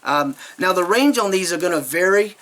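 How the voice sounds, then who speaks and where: A man's voice: high-pitched, animated, normal speed, a bit bright. A man giving a casual, unscripted product review in a rambling, hesitant way, often correcting himself as he goes.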